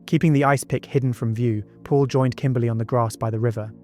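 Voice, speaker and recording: A man's voice: mid-range voice, steady tone, quick pace, mellow. A man narrating a true-crime documentary from a script, with conversational asides. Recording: music bed behind the voice. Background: background music.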